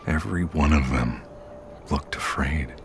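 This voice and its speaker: A man playing a somber storyteller, narrating an audio drama in the first person in a measured way. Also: extremely low pitch, background noise. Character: somber man recounting his friend's death.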